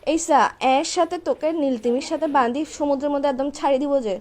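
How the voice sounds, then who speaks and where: A woman's voice: high-pitched, a little fast, warm tone. A young woman with a Bangladeshi accent, scolding and nagging indignantly as a girlfriend in a casual, comic phone argument. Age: young adult.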